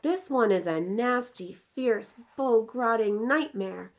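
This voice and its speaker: Lady doing a worked-up storybook giant, slow drawn-out exclaiming, pitched up and indignant. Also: lively intonation. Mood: emphatic explaining. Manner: exclaiming, emphatic, drawn-out words. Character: distressed, indignant giant with quirky mangled grammar.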